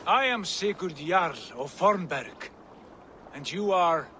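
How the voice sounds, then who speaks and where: An actor playing a proud, commanding Viking jarl in a video game, speaking in a stately, declamatory way. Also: male voice, high voice, lively intonation, slow, pushed and bright, a little hiss or hum, full character voice. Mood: defiant, commanding. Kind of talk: cinematic video game acting.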